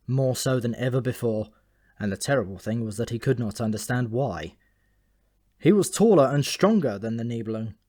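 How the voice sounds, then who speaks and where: A man's voice: mid-range voice, a little mellow, dry recording, clean studio sound. A man narrating a comic fantasy novel as a scripted audiobook reading.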